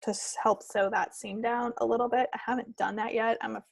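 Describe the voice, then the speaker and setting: A woman's voice: a little high, flat delivery, a little fast, vocal fry, no room sound. A woman co-hosting a knitting podcast, chatting in a casual, rambling, unscripted way. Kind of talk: casual, unscripted craft chat.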